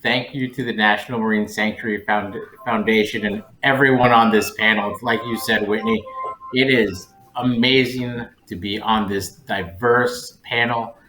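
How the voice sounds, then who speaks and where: A man's voice: lowish voice, steady tone, normal speed, pushed and bright, faint music behind. Man on a panel, echoey sound. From Colombia-born, raised in West Virginia, United States. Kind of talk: conversational panel remarks.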